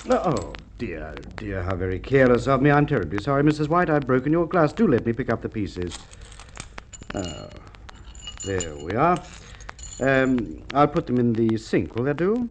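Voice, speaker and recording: A man acting a courteous, methodical police inspector in an old radio crime drama, with polite, measured, probing speech. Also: mid-range voice, big pitch swings, natural voice. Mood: feigned fluster. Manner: polite, measured, hesitant probing with formal phrasing. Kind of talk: Golden Age Australian radio drama acting. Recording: muffled, noisy old radio recording with clicks.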